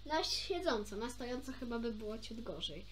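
High-pitched, lively young woman reading aloud. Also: normal speed, a little hiss or hum. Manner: informal, stumbles over words and self-corrects. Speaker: amateur storybook reader. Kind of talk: expressive read-aloud of a children's story with chatty asides.

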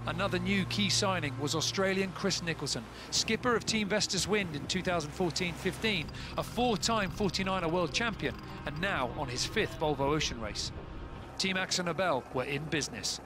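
A man reading scripted sailing news narration in an even, measured way. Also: a little high. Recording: voice-over with music behind.

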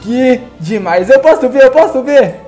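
A young man from Brazil, giving excitable, chatty gameplay commentary on a headset from his home gaming room, sounding congested from a cold. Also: very high voice, moderate pace, faint music behind. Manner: excitable, chatty, addresses viewers. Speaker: gaming YouTuber.

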